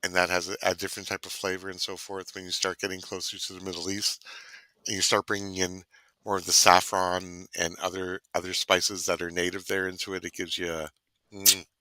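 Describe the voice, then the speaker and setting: A man's voice: low-pitched, flat delivery, fairly quick, bright, forceful tone, no room sound, poor audio. A man from Canada co-hosting a casual online cooking chat, rambling and savoring his words. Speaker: co-host.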